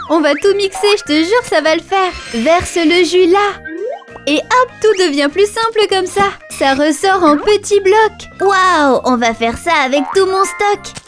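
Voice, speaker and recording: A woman voicing an excitable little lion cub boy in rhyming, exclamatory lines for a children's cartoon. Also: extremely high pitch, full character voice. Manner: exclamatory, sing-song rhyming. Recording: children's music behind the voice. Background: children's music.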